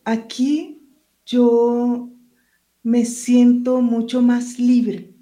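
A woman's voice: fairly high pitch, completely flat delivery, drawn-out words, mellow. A woman, a writer and teacher, who speaks Spanish as her first language, answering interview questions in a hesitant, searching way and reading from her book.

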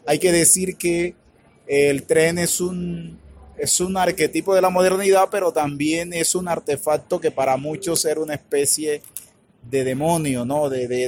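A man with a Colombian Caribbean accent, answering interview questions in a halting, thoughtful way with many filler words. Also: on the high side, darkish tone, a little hiss or hum. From Colombia's Caribbean region. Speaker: author and academic guest. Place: radio booth at a book fair stand.

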